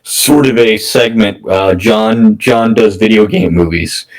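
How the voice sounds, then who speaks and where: A man's voice: lowish voice, takes it slowly. Man chatting casually about films. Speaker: podcast guest discussing films. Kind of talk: casual film discussion.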